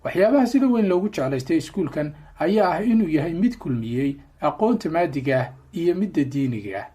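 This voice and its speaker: A reporter reads a news piece in a measured, formal voiceover. The voice is husky and mellow. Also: mid-range voice, big pitch swings. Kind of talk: scripted news narration.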